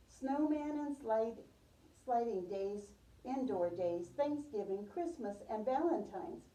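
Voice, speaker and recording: Woman reading to preschoolers, very mellow, roomy sound. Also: a little high. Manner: addresses listeners as young children, repeats their answers. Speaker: preschool teacher reading aloud. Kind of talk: picture-book read-aloud with questions to children. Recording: roomy, distant microphone, muffled top end.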